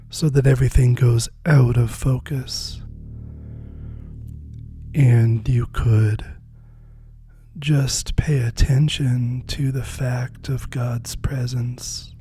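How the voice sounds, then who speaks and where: A meditation guide speaking softly and gently, unhurried with long pauses, often dropping close to a whisper in a spiritual guided practice. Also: medium pitch.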